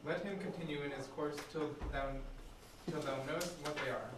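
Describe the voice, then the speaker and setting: A man's voice: a little high, steady tone, a little fast, fairly bright tone. A man, a student, reading old stage dialogue aloud in a classroom, away from the microphone. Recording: echoey room, distant mic, faint noise.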